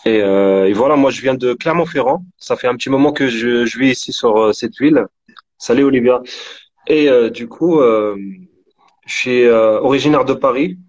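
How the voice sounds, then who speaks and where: A man in his thirties from Morocco, a painter answering interview questions in a halting way, with many pauses and restarts. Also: medium pitch. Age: 30s. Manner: hesitant, many fillers and restarts. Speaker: guest painter. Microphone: remote call on live stream. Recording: dull lo-fi stream audio.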